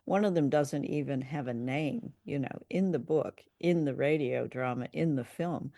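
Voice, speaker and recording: A woman's voice: low voice, normal speed, creaky, mellow, no room sound, clean studio sound. A woman co-hosting a casual discussion of film and radio drama, speaking in a conversational, appreciative way. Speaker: podcast co-host.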